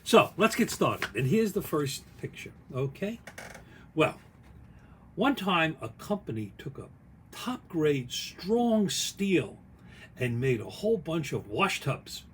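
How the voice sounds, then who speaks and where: A man reads a picture book aloud at an unhurried pace, in a fairly high voice that swings widely in pitch. The delivery is folksy and expressive, with little spoken asides on the story. There is some noise in the recording. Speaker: author reading their own picture book aloud.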